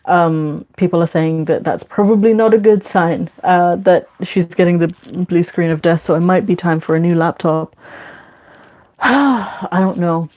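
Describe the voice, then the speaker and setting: A woman's voice: on the low side, unhurried, darkish tone. A young woman in her twenties chatting casually on a livestream, rambling with lots of ums and likes, whose first language is Bangla. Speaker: YouTuber answering live chat.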